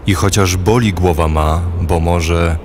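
An actor in an audio play reciting verse as a bitter, pained loner who longs to escape, in halting, brooding phrases. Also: male voice, low voice, steady tone, background noise.

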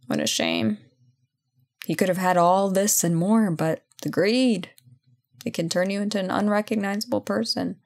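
A woman playing a self-assured but guilt-ridden CEO and former bully in an intimate audio roleplay, speaking conversationally with hesitant pauses. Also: medium pitch, gravelly, darkish tone. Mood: candid, coaxing. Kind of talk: intimate ASMR roleplay acting.

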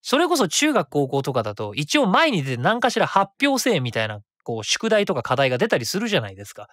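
A man around forty, hosting his own web radio show in a chatty, rapid way that stays easy to follow. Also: fairly high pitch, wildly swooping pitch, a bit bright, no room sound, clean studio sound. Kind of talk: casual solo radio talk.

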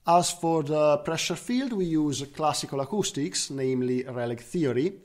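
A man's voice: on the high side, animated, moderate pace, darkish tone. A man presenting scientific research as a conference speaker, in the style of a technical slide talk. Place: recorded online slide talk.

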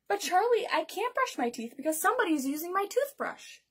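A woman's voice: very high-pitched, very animated, a little fast, pitched above the performer's own voice, exaggerated voice. A woman voicing a stubborn, imaginative little girl from a storybook, insistent and full of excuses. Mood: matter-of-fact excuses.

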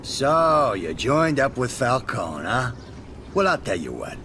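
An actor playing a cruel, cocky American mobster in a video game, taunting people in rough street talk. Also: male voice, big pitch swings, takes it slowly, sharp tone, full character voice. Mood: sneering menace. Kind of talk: video game cutscene acting. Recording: clear background noise, rumbling room ambience.

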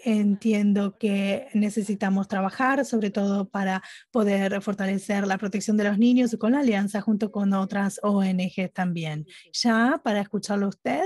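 A woman giving live interpretation at a webinar, speaking haltingly phrase by phrase. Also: medium pitch, darkish tone. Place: remote online conference audio channel.

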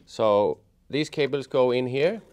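A middle-aged man giving a casual hands-on tutorial, explaining step by step in a halting way. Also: mid-range voice, darkish tone. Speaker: host of a how-to motorcycle gear channel. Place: indoors, office workshop room at a table.

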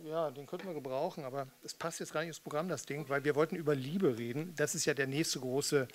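A man's voice: a little high, quick pace, slight background noise. An older man, a stage performer, bantering casually between songs into a microphone on a stand. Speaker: performer, singer and spoken-word artist. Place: small theatre with red seats and curtains. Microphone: studio mic on stand with pop filter.

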